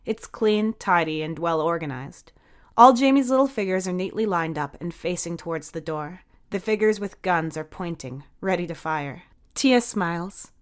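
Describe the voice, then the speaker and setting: Narrator: woman, slightly low voice, reading scripted fiction. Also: lively intonation, moderate pace. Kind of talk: scripted audio story narration.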